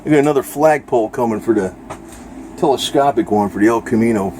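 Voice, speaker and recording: A man's voice: mid-range voice, fast talker, dark tone, slight background noise. An American man chatting casually off the cuff in a rambling, informal, folksy way. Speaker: vlogger.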